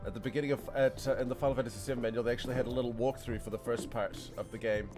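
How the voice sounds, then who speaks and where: A man's voice: medium pitch, steady tone, racing. A man streaming a game, chatting casually and rambling to his viewers with frequent laughs. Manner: chatty, rambling, laughs often, talks to chat. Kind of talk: casual gameplay commentary. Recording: game music under the voice. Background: game music.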